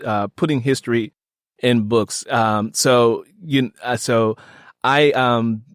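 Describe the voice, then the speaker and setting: A man's voice: mid-range voice, fairly even intonation, takes it slowly, dry recording. Enthusiastic man hosting, dry close sound. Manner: enthusiastic, emphatic, repeats phrases. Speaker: podcast host interviewing an author. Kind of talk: casual conversational interview.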